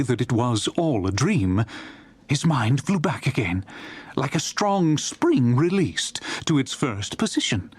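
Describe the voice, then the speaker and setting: A man narrating a literary audiobook in a dramatic, theatrical style with deliberate emphasis. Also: mid-range voice, husky.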